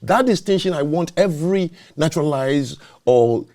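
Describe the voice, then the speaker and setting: Animated, lecturing male talk host. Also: on the high side, normal speed. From not born in the US, naturalized citizen. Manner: emphatic, lecturing. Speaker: show host. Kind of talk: opinionated talk show discussion.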